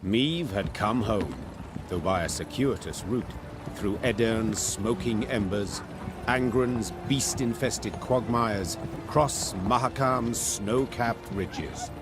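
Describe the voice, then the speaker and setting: Deep-voiced solemn saga narrator. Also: male voice, slow, music in the background. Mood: solemn, epic. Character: solemn male chronicler of a war saga. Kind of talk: scripted storybook narration in a fantasy video game.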